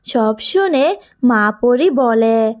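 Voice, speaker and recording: A woman narrating a cartoon fairy tale, her voice sitting high for a woman. She tells the story in a steady, measured way, like reading a storybook aloud.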